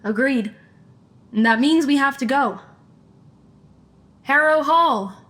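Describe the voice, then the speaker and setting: Woman acting a decisive sleuth, high bright voice, deadpan, teasing, sharp, audio drama banter. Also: takes it slowly, heavily acted. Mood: intrigued, decisive.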